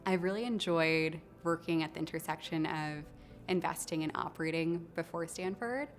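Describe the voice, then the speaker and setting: A woman's voice: low voice, normal speed, faint music behind. A woman student giving an interview testimonial as a scholarship recipient.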